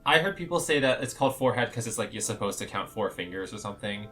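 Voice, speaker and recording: A man's voice: lowish voice, expressive, fast talker, bright, forceful tone, soft background music. A young man co-hosting a casual podcast, easygoing and hedging his points with lots of "like". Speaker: podcast co-host. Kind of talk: casual video-call podcast chat.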